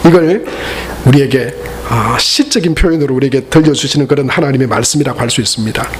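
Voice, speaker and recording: A man's voice: medium pitch, fast talker, slight background noise. A man from the region of Korea, speaking with its as a pastor, preaching a Sunday sermon with joking asides to the congregation. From Gyeongsang region, Korea. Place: church sanctuary.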